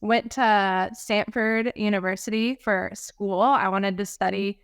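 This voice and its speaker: A woman's voice: medium pitch, fairly even intonation, measured pace, clean tone, dry recording, studio quality. A woman from Georgia who works in children's ministry, telling her background upbeat and chatty as a podcast guest. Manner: upbeat, chatty with many likes. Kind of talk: casual interview chat.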